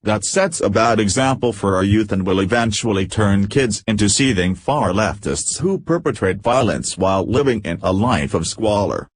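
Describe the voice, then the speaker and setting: A man's voice: lowish voice, lively intonation, normal speed, hardly any pauses, dry recording. A man reading a scripted news report word for word in a stiff, mechanical manner. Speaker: news narrator. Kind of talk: scripted news narration, read verbatim.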